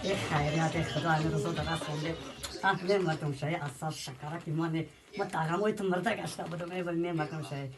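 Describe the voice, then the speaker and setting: A woman's voice: sits low, fairly even intonation, over music. A woman with a Tajik accent playing an impatient, pleading newlywed in a comedy sketch, speaking in colloquial exclamations. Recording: clearly noisy background.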